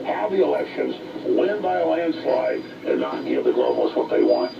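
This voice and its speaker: A strongly gravelly man whose pitch swings widely as he argues emphatically. He sounds far away and muffled on a shortwave broadcast with loud static. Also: wildly swooping pitch, moderate pace. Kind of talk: talk radio commentary.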